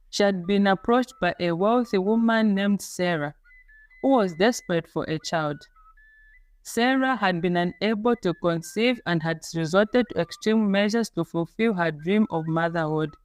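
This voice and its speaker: A woman reading a scripted folktale as a storyteller, in a measured, dramatic storybook delivery. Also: mid-range voice. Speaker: storyteller, narrator. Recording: music playing under the voice. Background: background music.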